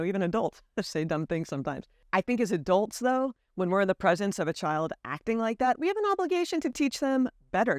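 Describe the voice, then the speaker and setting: A woman's voice: on the low side, no room sound, clean studio sound. An American woman telling a personal story to camera in an earnest, candid way. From United States. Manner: earnest, candid, lively emphasis. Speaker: vlogger.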